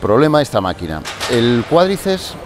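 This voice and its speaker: A man's voice: mid-range voice, big pitch swings, normal speed, noisy background. A man in his forties, a fitness coach, explaining leg exercises step by step in a large indoor gym on a lapel mic, often checking in with his listeners. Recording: music playing clearly behind.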